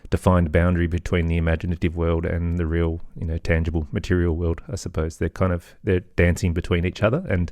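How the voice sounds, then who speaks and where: A middle-aged man talking casually and reflectively as a podcast guest, thoughtful and tentative, often softening what he says. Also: sits low, little pitch movement, a little fast, few pauses, no echo. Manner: hesitant, thoughtful, hedging with softeners. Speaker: podcast guest, children's audio storyteller. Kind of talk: casual reflective interview talk.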